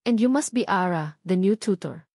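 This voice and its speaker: A woman's voice: mid-range voice, animated, normal speed, a little mellow, dry recording. Storybook villainess, played by a female narrator: jealous, polished, pointed emphasis, sugary menace, dry sound. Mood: condescending. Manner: pointed, emphatic, switching between languages. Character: snide, fake-sweet jealous woman, polished and threatening. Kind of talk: storybook drama dialogue.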